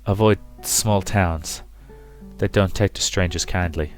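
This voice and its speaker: A man acts out a dying father from a visual novel, tender and worn out. His voice is low and hushed, and he delivers his parting advice haltingly, with music playing behind him. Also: fairly even intonation. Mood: earnest, weak. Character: dying gentle father, tender, weary, giving last advice.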